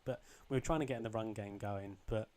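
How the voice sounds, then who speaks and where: A young man with a slightly croaky voice, casually commentating his own football video game match, rambling with ums and restarts. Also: lowish voice, fast talker, a little mellow. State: a bit croaky. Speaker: gaming channel host.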